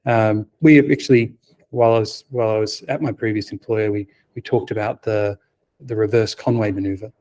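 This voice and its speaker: A soft-spoken middle-aged man presents at a conference in a low, mellow voice. He speaks quietly, stumbles through fillers and restarts, and slips in dry, self-deprecating asides. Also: fairly even intonation, brisk. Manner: hesitant, many fillers and restarts, wry asides. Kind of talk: technical conference talk.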